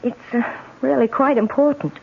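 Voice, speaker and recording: A woman's voice: high-pitched, fairly even intonation, moderate pace, creaky, husky, heavily acted. Anxious refined lady, tinny old radio. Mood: anxious, confiding. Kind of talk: 1970s radio drama acting.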